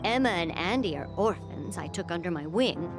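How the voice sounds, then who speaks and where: Gentle old lady, expressively pitched. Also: animated, normal speed. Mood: tender, reflective. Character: kindly old woman without legs caring for orphaned children. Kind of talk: dramatic game dialogue. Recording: background music under the voice.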